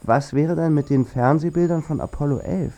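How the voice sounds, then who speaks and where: A man's voice: on the high side, normal speed, warm tone, close to an everyday voice. An actor playing a man who sceptically questions things in a scripted radio drama, in an inquisitive, rhetorical manner. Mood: questioning, sceptical. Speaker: radio play speaker.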